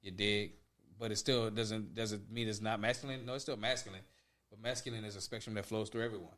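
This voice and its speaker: A man with a New Orleans accent on a casual podcast, halting as he searches for words and peppering in slang. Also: lowish voice, fairly even intonation, fairly bright tone. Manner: halting, searches for words, says "dog" and "bro". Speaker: podcast co-host.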